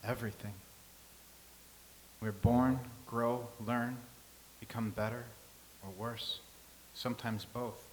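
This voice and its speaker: A man's voice: mid-range voice, fairly even intonation, slow pace, pauses often, natural voice, rough recording. An actor playing a solemn male scribe from ancient times in a staged play reading, speaking earnestly and philosophically, with faint hiss.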